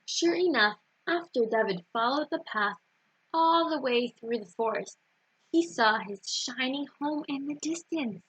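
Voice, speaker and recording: Lively high-voiced woman reading a children's story. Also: unhurried, slightly rough. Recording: dull, roomy lo-fi recording.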